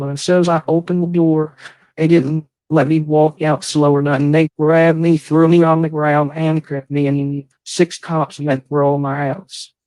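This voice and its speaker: A man chatting casually on a live stream, halting and rambling, swearing often. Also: on the high side, darkish tone, no echo, rough recording.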